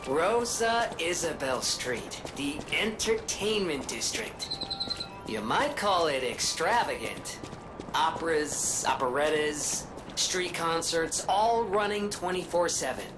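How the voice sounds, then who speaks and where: A musing woman tells things in a low, unhurried voice with lively swings of pitch. She is wry, nostalgic and pensive, pausing hesitantly as she reflects. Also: background noise. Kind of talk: video game character acting.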